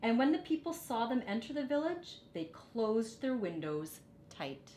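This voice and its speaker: Slow-reading woman in an echoey room. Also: very animated, dark tone. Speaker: teacher reading a picture book aloud. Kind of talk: storybook read-aloud with teaching asides. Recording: roomy echo, faint rumbling background noise.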